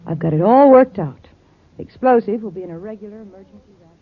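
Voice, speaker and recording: An actress playing a cool, calculating, villainous space-line boss who schemes smoothly in a vintage radio serial. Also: female voice, medium pitch, expressive, fast talker. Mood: coolly scheming. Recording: tinny, narrow old radio recording.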